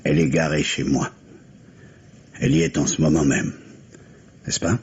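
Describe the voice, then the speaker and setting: An actor playing a grave, bitter widower in a French mystery radio play, with measured, dramatic delivery. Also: male voice, a bass voice, fairly even intonation. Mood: stricken, grave. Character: grieving, bitter New York widower hunting his wife's killer. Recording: dull, hissy vintage radio recording.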